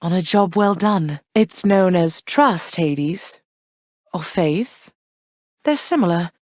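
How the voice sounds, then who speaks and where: An actress playing a warm yet firm, gently wry mother goddess in a video game, speaking in measured, formal, old-fashioned phrases. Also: on the low side, animated, dry recording, clean studio sound. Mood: warm, encouraging.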